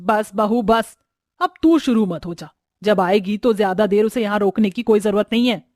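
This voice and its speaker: A woman voicing a haughty middle-aged mother-in-law in an animated-style family story, scolding and full of contempt. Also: medium pitch, moderate pace, close to an everyday voice. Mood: curt, scolding.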